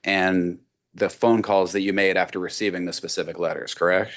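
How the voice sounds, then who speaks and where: Brief: very low-voiced male lawyer, methodical cross-examination on a video call. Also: steady tone. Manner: measured, methodical questioning. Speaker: attorney.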